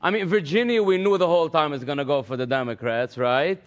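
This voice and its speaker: A man's voice: a little high, fast. A man with a Yiddish accent, Yiddish his first language, hosting live election coverage in casual English sprinkled with Yiddish words. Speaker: election night host.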